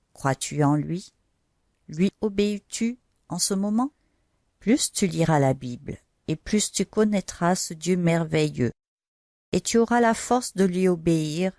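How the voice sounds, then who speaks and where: A woman's voice: fairly low pitch, creaky, darkish tone, dry recording, studio quality. A woman storyteller narrating Bible stories for children in simple, didactic language, speaking directly to the young listener.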